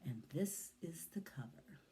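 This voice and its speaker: A woman reading a picture book aloud to young children in an expressive, deliberate manner, with little asides to her listeners. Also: low voice. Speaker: children's story reader, Montessori educator.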